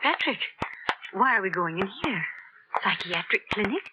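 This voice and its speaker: An actress playing an anxious, emotive wife in a 1940s radio drama. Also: female voice, mid-range voice, animated, moderate pace, natural voice. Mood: puzzled, polite. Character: anxious wife of a scientist, increasingly desperate. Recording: muffled, very noisy old radio.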